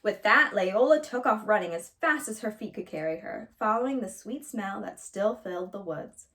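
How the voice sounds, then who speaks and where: A woman reading a children's storybook aloud as a storyteller, in an expressive narrating style. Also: mid-range voice, normal speed.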